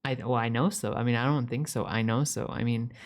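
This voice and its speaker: A man's voice: medium pitch, steady tone, a little fast, close to an everyday voice. A man playing an eager, affectionate young puppy-boy roommate in a casual ASMR roleplay, rambling earnestly with stammers and repeated words.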